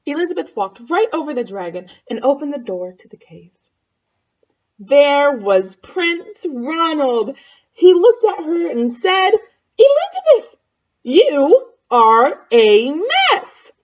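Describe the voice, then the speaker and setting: A woman's voice: high-pitched, big pitch swings, unhurried, darkish tone, dull audio. A woman reading a picture book aloud to children expressively, with asides and questions for the listeners. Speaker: storybook reader.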